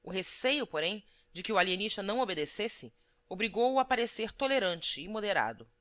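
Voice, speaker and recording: Bright-voiced woman narrating an audiobook. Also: mid-range voice, animated, a little fast. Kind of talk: scripted literary narration.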